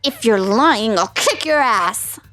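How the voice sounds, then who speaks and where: A woman's voice: fairly high pitch, animated, takes it slowly, a bit bright, soft background music. A woman voicing a tough, hot-tempered teenage delinquent girl who talks blunt and threatening. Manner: blunt, threatening street talk. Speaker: voice actor in a manga dub.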